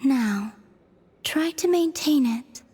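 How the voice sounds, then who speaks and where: A soft, breathy, high-toned young maiden, serene and aloof. She speaks slowly and dreamily, like a mysterious figure in an anime game. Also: expressive, a little hiss or hum. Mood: calm, helpful. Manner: slow, dreamy, reserved.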